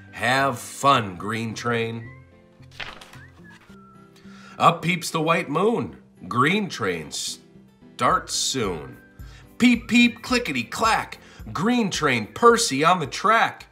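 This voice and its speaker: A man reading a children's storybook aloud in an expressive, sing-song and deliberate way. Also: fairly high pitch, husky, faint music behind. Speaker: storybook narrator.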